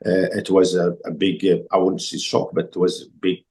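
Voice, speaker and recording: Man, Moroccan accent, native Arabic speaker, very low voice, hesitant, lots of fillers and restarts, interview guest. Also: level tone, brisk, darkish tone. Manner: hesitant, many fillers and restarted words, non-native phrasing. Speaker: chef and restaurant owner, guest. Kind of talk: casual interview conversation.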